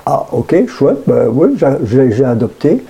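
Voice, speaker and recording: A man's voice: mid-range voice, sing-song, unhurried, gravelly, mellow. A man around sixty with a Brussels Belgian French accent, a radio guest talking casually with many hesitations and fillers.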